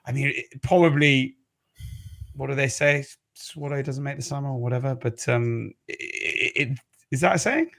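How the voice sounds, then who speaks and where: A man presenting a rugby podcast, a native French speaker, who steers the chat in a measured way from topic to topic. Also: mid-range voice, creaky, fairly bright tone.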